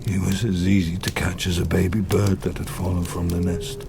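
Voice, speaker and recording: A man's voice: low voice, little pitch movement, creaky, background noise. An actor playing a wretched, vengeful man-made monster in a gothic audio drama, grave and anguished in his telling. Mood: haunted recollection. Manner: slow, grave, anguished storytelling.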